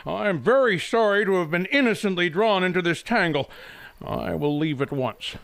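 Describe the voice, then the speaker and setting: Fussy prim professor, high voice all over the place, pompous n formal, old radio play. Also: male voice, animated, moderate pace, gravelly, fairly bright tone, full character voice. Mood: apologetic, prim. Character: prim, indignant professor feigning innocence. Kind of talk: old detective film radio dramatisation.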